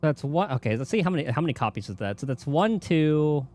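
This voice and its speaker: Animated man chatting on a game stream. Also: a little high, a little fast. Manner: rambling, thinking aloud, mild swearing. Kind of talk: casual livestream chat while gaming.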